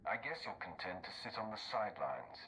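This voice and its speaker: Very deep, cold Sith warrior. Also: male voice, sing-song, brisk, pushed and bright, a little hiss or hum, exaggerated voice, poor audio. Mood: cool confidence. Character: cold, confident young Sith warrior, quietly menacing. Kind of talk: video game voice acting.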